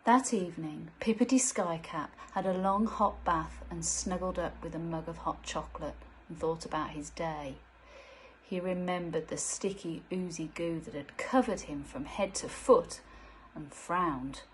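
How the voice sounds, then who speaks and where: A woman narrates a children's storybook in a voice a little lower than most women's. Faint ambient noise sits behind her. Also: a little slow, rough recording. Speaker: teacher reading a children's story.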